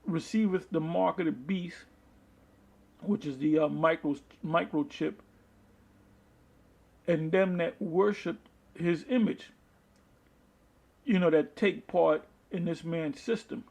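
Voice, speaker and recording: A man preaching from the Bible, reading verses aloud and explaining them in a halting, deliberate manner, with a husky, gravelly voice. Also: on the high side, darkish tone. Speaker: street-style Bible preacher. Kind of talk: Bible teaching with scripture read aloud and commentary.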